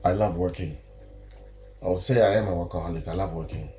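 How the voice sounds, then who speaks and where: Deep-voiced Zimbabwean musician guest. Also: male voice, fast talker, music in the background. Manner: easygoing, self-correcting, proud. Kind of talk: casual interview answers.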